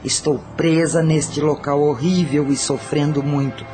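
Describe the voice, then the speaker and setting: A woman's voice: low-pitched, fairly even intonation, unhurried, over music. Woman playing a tormented former madam, confessing coldly. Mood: anguished regret. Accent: Brazilian. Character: tormented female spirit, former brothel madam, cold and regretful. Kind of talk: Portuguese-language audio drama acting.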